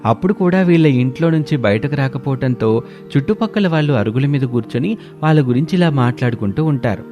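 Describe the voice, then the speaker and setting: A man narrating a cartoon moral story in a steady, plain storytelling manner. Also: medium pitch, quick pace, over music.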